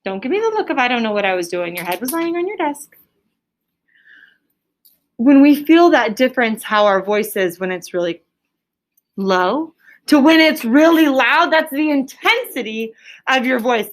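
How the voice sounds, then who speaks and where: A woman's voice: on the high side, animated, normal speed. A woman teaching an elementary class, quizzing pupils in a firm, directive manner. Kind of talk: classroom lesson with question and answer.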